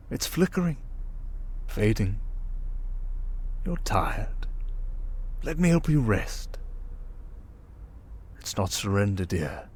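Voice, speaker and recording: A man playing a suave, manipulative criminal mastermind in an intimate ASMR roleplay, with a coaxing, condescending, theatrical delivery full of drawn-out pauses. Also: lowish voice, heavily acted. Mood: hypnotic, persuasive. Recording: music behind the voice. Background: music.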